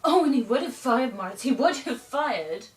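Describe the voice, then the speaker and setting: A woman performing a stage monologue as a troubled young bohemian runaway, confessing in a deliberate, haunted manner with pauses. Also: natural voice. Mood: distressed. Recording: roomy, distant mic, faint rumble.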